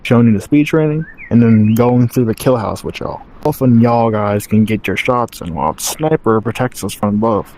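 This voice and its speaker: A man playing a gruff, chain-smoking off-duty villain with a deep, rough smoker's voice, rambling and swearing casually in a game roleplay. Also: normal speed, hardly any pauses, a little mellow, a little hiss or hum, natural voice, low-quality recording. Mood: laid-back.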